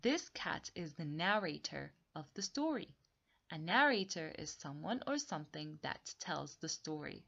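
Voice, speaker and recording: A lower-voiced woman guides young children through a read-along story lesson. She explains deliberately and repeats key words, with highly animated pitch movement. The recording is a little dull and lo-fi. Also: gravelly. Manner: slow, deliberate explaining with repetition. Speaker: teacher reading aloud.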